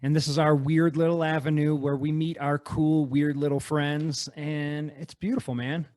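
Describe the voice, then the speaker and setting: Chill podcast host guy, bit high voice, dry close mic, says man a lot. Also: level tone, normal speed, a little mellow, no room sound. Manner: laid-back, enthusiastic, says "man" often. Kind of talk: casual conversation.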